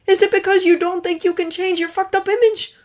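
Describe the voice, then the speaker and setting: A woman voicing an earnest, remorseful young man who speaks in a high, strained, pleading voice. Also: steady tone, brisk, a little mellow. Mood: accusing.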